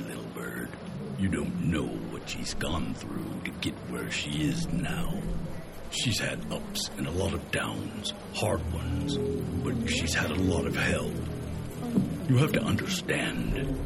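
An actor playing a gruff, kindly, folksy man in a science fiction audio drama, with a gravelly, reassuring delivery. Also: extremely low pitch, very animated, takes it slowly, exaggerated voice. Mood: gentle, reassuring. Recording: music under the voice.

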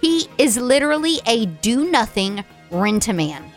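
A woman co-hosting a radio morning show, chatty and excitable, laughing often. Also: fairly high pitch, measured pace, soft background music.

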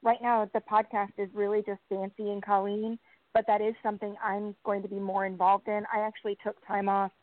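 A woman's voice: medium pitch, level tone, a little fast, no room sound. A young adult woman chatting casually as a guest in an interview. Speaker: podcast team member, interview guest. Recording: dry, muffled band-limited call audio.

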